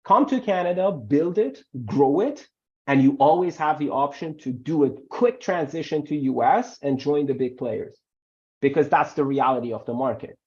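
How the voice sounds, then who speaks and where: A man whose first language is Farsi, working as an immigration lawyer, gives animated webinar answers and often repeats words for emphasis. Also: fairly high pitch, moderate pace. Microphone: video call. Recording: muffled video call audio.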